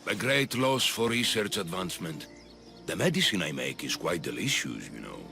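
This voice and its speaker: An actor playing an eccentric, obsessive doctor in a video game, fussy and jittery, doting and often repeating himself. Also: male voice, low voice, sing-song, moderate pace, gravelly, pushed and bright, faint music behind, full character voice. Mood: eager, scheming. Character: eccentric obsessive male doctor doting on his human pets. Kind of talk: video game character acting.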